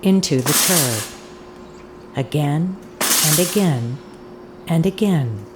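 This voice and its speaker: A woman playing an eerie audio tour guide in an audio drama, giving deliberate instructions with hypnotic repetition. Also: low-pitched, lively intonation, noisy background, heavily acted. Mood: ominous, intent. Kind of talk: scripted audio drama narration. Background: ambient field recording and foley sounds.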